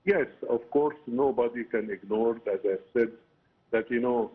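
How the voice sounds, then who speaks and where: A man with an Egyptian accent giving halting, explanatory answers as an expert guest in a broadcast interview, often saying "you know". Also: mid-range voice, slightly rough. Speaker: political science professor, interview guest. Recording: muffled, narrow phone-like line.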